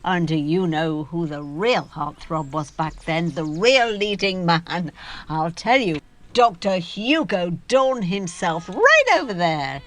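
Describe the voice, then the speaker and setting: An imperious middle-aged mother in a radio play. She speaks in a slow, deliberate way with big swoops of pitch. Her delivery is theatrical and sardonic, with a wounded edge. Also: expressive, fairly bright tone. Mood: wistful, nostalgic. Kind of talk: audio drama acting.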